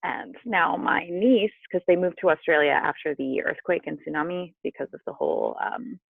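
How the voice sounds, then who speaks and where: An American woman, a podcast guest, chatting casually in a lively, eager, talkative way. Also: on the low side, normal speed, vocal fry, breathy edge, dry recording. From southern US. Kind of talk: casual chat. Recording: tinny phone-like call line.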